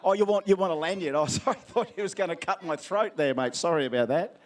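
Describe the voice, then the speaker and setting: A man's voice: sits high, quick pace. An Australian man acting as MC at an outdoor event, handing out prizes with playful, teasing crowd banter.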